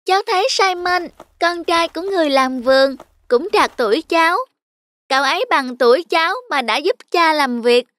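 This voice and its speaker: A woman's voice: extremely high pitch, normal speed, a bit bright, dry recording. A woman voicing a sweet, lonely little boy in a cartoon, earnest and polite. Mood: wistful. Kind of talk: cartoon voice acting. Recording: music behind the voice.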